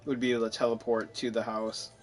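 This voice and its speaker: A man's voice: medium pitch, flat delivery, fast, faint music behind. A man giving casual, wry, mildly sarcastic commentary as he plays through a game. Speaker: gamer narrating own playthrough.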